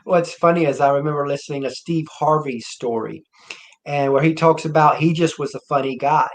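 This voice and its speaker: A man's voice: fairly high pitch, brisk. A man hosting an interview show, asking long, earnest questions in a casual tone. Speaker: show host.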